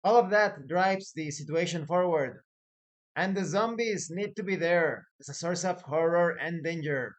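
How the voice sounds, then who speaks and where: A man hosting a hobby channel, talking casually and giving advice in a deliberate, emphatic way, repeating key phrases for stress. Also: fairly high pitch. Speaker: host of a tabletop roleplaying channel.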